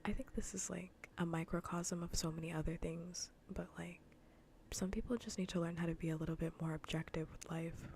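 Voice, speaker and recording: A woman streaming in a soft, breathy, often whispered ASMR voice, chatting informally and playfully close to the mic. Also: fairly low pitch, steady tone, brisk, a little hiss or hum. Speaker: streamer hosting a live cozy gaming broadcast. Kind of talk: soft-spoken ASMR casual chat. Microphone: close ASMR microphone.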